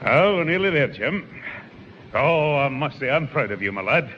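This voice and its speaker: A bemused old grandad, acted by a man, his voice rising and falling a lot between chuckling exclamations. It comes from a dull 1960s radio recording with clear hiss behind it. He sounds fond and easily fooled. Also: mid-range voice, sing-song, normal speed, natural voice. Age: older.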